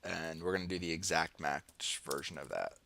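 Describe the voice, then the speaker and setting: A man's voice: rumbling low, normal speed, vocal fry, pushed and bright, no echo. A man with an American accent presenting an informal screen-share tutorial, thinking aloud as he demonstrates each step. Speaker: online marketing tutorial presenter. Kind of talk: casual screen-share walkthrough, partly improvised.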